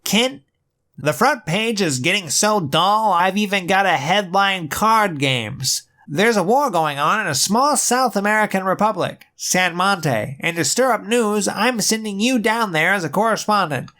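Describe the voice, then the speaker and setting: Man as a brisk, bossy newsroom chief, comic dub. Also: high voice, lively intonation, pushed and bright, heavily acted. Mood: brusque. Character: brusque male newspaper editor.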